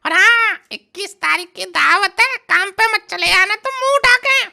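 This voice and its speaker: A woman acts out a young village groom in a cartoon comedy. His voice is tiny and extremely high, with a gravelly creak and a very sharp edge. He talks casually and keeps smoothing things over. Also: expressive, moderate pace, full character voice. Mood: placating. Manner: colloquial, placating, casual. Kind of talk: Hindi cartoon comedy dialogue.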